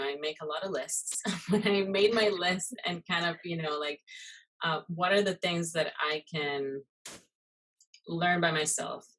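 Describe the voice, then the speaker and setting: A low-pitched woman from Costa Rica talks through an interview in a relaxed, conversational way. She leans on fillers and loose asides as she tells her story. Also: poor audio. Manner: chatty, many fillers and "you know". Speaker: guest, muralist and illustrator. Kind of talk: casual interview chat.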